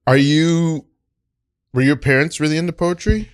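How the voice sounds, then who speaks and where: A man's voice: a little high, normal speed, smooth, no echo, clean studio sound. An American man hosting a casual podcast conversation, giving his opinions emphatically. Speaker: podcast host.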